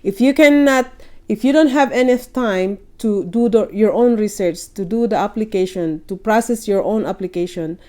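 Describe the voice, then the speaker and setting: Woman vlogger at home, mellow voice, talks straight to camera, encouraging, keeps checking in with viewers. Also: medium pitch, normal speed. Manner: chatty and encouraging, often checks in with "okay?" and "you know". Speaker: vlogger giving caregiver job advice. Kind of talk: casual advice vlog to camera. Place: at home in Canada.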